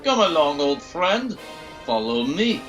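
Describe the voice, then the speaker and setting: An actor playing a menacing doctor villain in an audio drama, theatrically courteous and falsely polite. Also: male voice, on the high side, very animated, slow pace, a bit bright, full character voice. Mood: silky, insistent. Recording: music under the voice.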